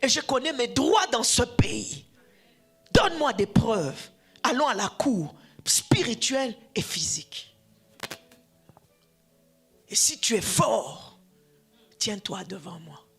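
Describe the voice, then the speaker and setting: A woman whose first language is Swahili, preaching a sermon, dropping to hushed whispers and then rising to shouts, repeating phrases for emphasis. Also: a little high, big pitch swings, slow pace, creaky, pauses often, husky, faint music behind. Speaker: preacher, addressed as apostle.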